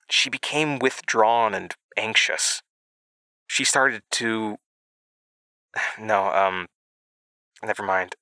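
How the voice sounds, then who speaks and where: A man voicing a gentle, wistful, free-spirited woman in an audio drama, speaking philosophically and hesitantly. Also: lowish voice, dry recording. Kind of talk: quoted dialogue in audio drama. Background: music.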